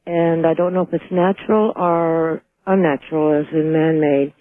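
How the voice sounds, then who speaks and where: A thin, narrow phone line brings in a man with a fairly high voice. He is calling a radio show and recounts things in a tentative, hesitant way. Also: fairly even intonation, moderate pace. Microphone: telephone.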